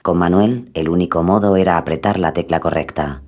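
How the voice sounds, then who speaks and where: Deep-voiced man, dramatic scripted narrator for true-crime storytelling. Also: brisk, a little mellow. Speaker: podcast narrator.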